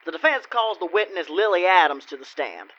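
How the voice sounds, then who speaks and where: A man's voice: high-pitched, very animated, a little fast, bright, forceful tone, a little hiss or hum, full character voice. Guy doing a smug simple country lawyer, folksy and theatrical, voice swings all over, kinda lo-fi radio play. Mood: showboating. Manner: folksy, theatrical courtroom patter. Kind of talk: comedic old-time radio play acting.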